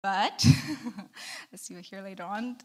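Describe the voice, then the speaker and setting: Woman, thirties, soft-spoken, candid, mid-pitched voice. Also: takes it slowly. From Malaysia. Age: 30s. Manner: soft-spoken, candid, laughs between thoughts. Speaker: church member giving testimony. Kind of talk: interview testimony.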